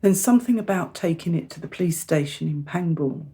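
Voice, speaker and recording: An actress plays a middle-aged mother in a radio drama. Her voice is low and husky. She is earnest and insistent, measured but exasperated with her family. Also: lively intonation, warm tone. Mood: solemn storytelling. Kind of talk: radio play acting.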